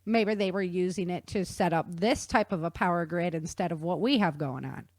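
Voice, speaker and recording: A woman explaining things in an animated, conversational way with casual slang. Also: fairly low pitch, normal speed, dry recording. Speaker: guest author explaining research.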